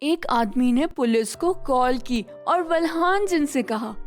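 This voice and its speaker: A woman narrating a scripted children's bedtime story in a gentle, slow way, addressing the kids. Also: high voice, animated, faint music behind.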